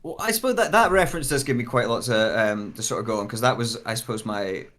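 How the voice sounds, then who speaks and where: A man's voice: mid-range voice, lively intonation, fast, a bit bright. A man speaking casually as a guest actor discussing a role, rambling and often restarting his sentences. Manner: rambling, restarts sentences, frequent "like" and "you know".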